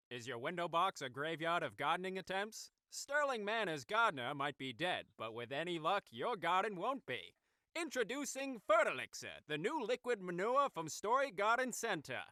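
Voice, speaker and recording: A man playing an exuberant, hammy pitchman in a parody radio commercial for garden products. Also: bright, forceful tone, dry recording, full character voice. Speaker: advert announcer.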